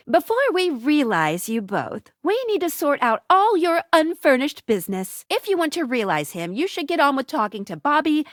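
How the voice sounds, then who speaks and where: Perky, high-voiced, quippy game guide. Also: female voice, no echo, studio quality. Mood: cheeky, quippy. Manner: playful, punning, animated. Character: perky, quippy, helpful female guide to dateable household objects. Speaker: voice actress playing a game guide character. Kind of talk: scripted video game character dialogue.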